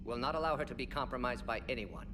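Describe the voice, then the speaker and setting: A rapid-fire alien scientist played by a man with a mid-range voice, precise and fussy, dropping words into clipped phrases. It is a video game voice, a little distant, with clear background noise. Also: medium pitch, lightly acted. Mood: defensive, resolute. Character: brisk, fussy alien salarian scientist doctor, male. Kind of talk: video game character acting. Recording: noisy game ambience, distant voice.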